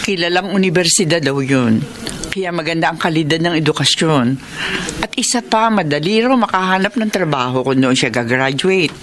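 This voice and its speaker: A woman's voice: sits low, moderate pace, fairly bright tone. An actress playing a worried, self-sacrificing wife of a poor fisherman in a radio drama, emotional and pleading. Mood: hopeful. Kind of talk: Tagalog radio drama acting.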